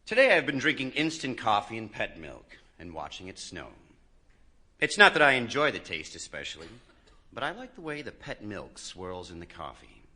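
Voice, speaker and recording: A man reading a first-person literary short story expressively, swelling in volume and dropping softly. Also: on the low side, normal speed. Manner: expressive, with strong swells and soft drops in volume.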